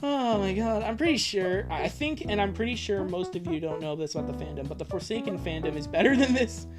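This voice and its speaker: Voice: medium pitch, lively intonation, a little fast, loud music behind the voice. A livestream host chatting casually and reacting to viewers in an exasperated, rambling, sweary way. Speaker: livestream host reading fan fiction aloud.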